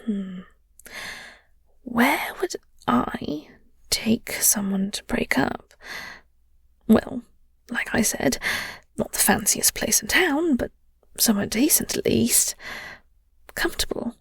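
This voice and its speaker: Breathy, gentle vampire flirt played by a woman. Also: on the high side, lively intonation, no room sound, in a whisper, heavily acted. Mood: wistful, reflective. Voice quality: breathy, husky. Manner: halting, teasing, tender. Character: flirty, gentle vampire nemesis comforting a heartbroken human. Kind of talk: intimate audio roleplay acting, half-whispered.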